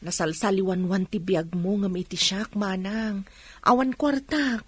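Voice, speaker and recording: A woman with a husky voice playing a firm, defensive village mother in a radio drama. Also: mid-range voice, measured pace, no room sound. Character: firm, protesting village mother refusing to lend money. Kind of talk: Ilocano radio drama acting.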